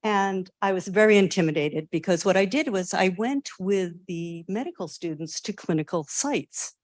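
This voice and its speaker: An older woman with a lowish voice speaks in a chatty, self-deprecating way, sharing her memories as a former nurse practitioner student. Her voice comes through a remote call and sounds dry, close and free of room echo. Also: normal speed. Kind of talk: memorial remembrance with humor.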